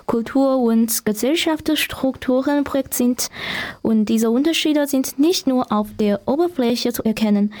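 A woman from China with a Chinese accent, a guest giving prepared answers on a student radio show, careful and with pauses. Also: a little high, mellow.

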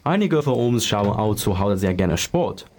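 This young man hosts a student interview, and his voice runs low but moves up and down a lot. He asks polite questions that sound read from a script. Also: very animated, unhurried, darkish tone, a little hiss or hum. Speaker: student host. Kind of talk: moderating a school interview.

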